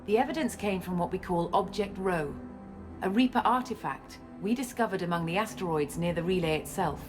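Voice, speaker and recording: A woman playing a guarded, self-assured scientist and space operative in a video game, explaining things in a measured way. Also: on the low side, lightly acted. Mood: grave. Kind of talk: video game cutscene acting. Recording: music under the dialogue.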